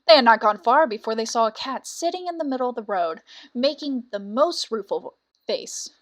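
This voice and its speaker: A woman's voice: on the high side, lively intonation, normal speed. A woman reading a fairy tale as a storyteller, in scripted narration.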